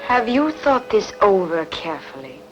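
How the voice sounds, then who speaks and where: A woman's voice: mid-range voice, big pitch swings, normal speed, close to an everyday voice, poor audio. Proud, scheming queen, played by a woman, regal epic-drama delivery with sweeping intonation over a music bed. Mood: wary, wounded. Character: proud, seductive, cunning ancient queen. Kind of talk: dubbed historical epic film acting.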